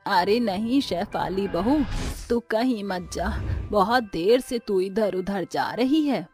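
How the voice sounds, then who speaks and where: A woman's voice: mid-range voice, slow pace, darkish tone, faint music behind, natural voice. Nagging, impatient mother-in-law voiced by a woman.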